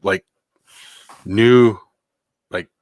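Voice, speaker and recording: A man with a deep voice co-hosting a casual chat, relaxed and laughing often, with some hesitation. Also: extremely slow, creaky, no echo. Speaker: podcast co-host.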